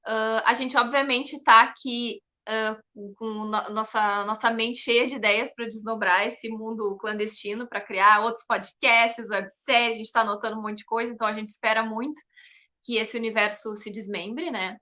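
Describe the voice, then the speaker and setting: A woman's voice: a little high, steady tone, normal speed, sharp tone. A young woman talking casually and enthusiastically as an interview guest, often adding "né" as a tag. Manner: enthusiastic, frequent "né" tags. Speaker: interview guest, performing artist and researcher. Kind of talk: casual explanatory interview talk.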